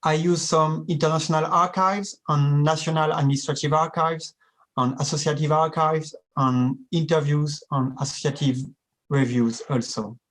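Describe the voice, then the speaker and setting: A man presenting a seminar paper in careful, deliberate, non-native English. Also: a little high, fairly even intonation, fairly bright tone. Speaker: academic presenter. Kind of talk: reading a conference paper.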